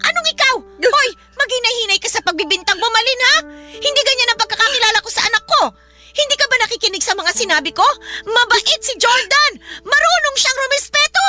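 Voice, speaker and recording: A woman's voice: very high voice, expressive, a little fast, pushed and bright, faint music behind, full character voice. An actress playing a stern, scornful middle-aged aunt who fiercely defends her son in a radio drama. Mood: indignant, defensive. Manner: scornful, accusing, dismissive. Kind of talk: radio drama dialogue in Tagalog.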